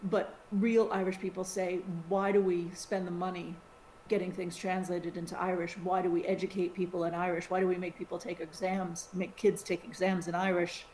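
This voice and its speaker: A woman's voice: on the low side, moderate pace. Chatty woman in a roomy room. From United States. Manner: chatty, animated, with many restarts. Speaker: podcast co-host. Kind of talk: casual podcast chat. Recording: roomy sound with faint hiss.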